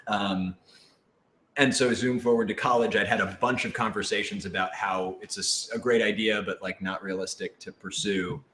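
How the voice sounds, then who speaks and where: A man's voice: on the low side, steady tone, moderate pace, fairly bright tone. A young American man, a voice actor, chatting casually and telling stories in a wry, joking, rambling way. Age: young adult. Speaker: voice actor on a radio drama. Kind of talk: casual chat, storytelling. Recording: echoey room with audible noise.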